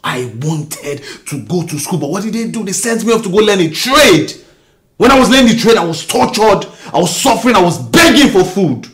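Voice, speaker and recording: An actor with a Nigerian accent playing a bitter self-made husband who rants at his wife about his upbringing, swinging from low mutters to shouts. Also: very animated, normal speed. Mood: angry. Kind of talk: melodrama acting.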